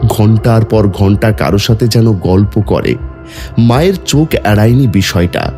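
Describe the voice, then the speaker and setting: Dramatic male horror narrator over loud music. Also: on the low side, animated, unhurried. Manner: dramatic, suspenseful. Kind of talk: scripted horror story narration.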